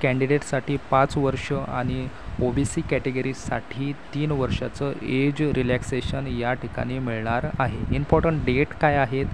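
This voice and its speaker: A man from Maharashtra presenting an informational explainer to online viewers, reading out details with hesitant pauses. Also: mid-range voice, flat delivery, noisy background. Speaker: YouTube host announcing job openings.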